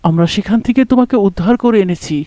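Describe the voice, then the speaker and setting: Man playing a gentle soothing doctor. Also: high-pitched, fast talker, no room sound, natural voice. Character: kind uncle and gentle doctor. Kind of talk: cartoon voice acting.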